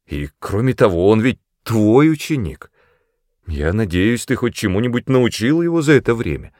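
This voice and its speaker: A man's voice: on the low side, big pitch swings, normal speed, a little mellow, dry recording, studio quality. A man voicing a playful, self-assured woman adventurer in an audiobook, teasing and assertive. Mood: firm, reasoning.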